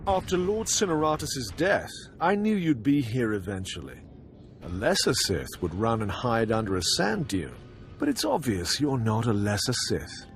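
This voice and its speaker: An actor playing a withered old Sith lord in a video game, haughty and imperious, with a pompous, theatrical manner. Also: male voice, mid-range voice, sing-song, moderate pace, faint music behind. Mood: haughty menace.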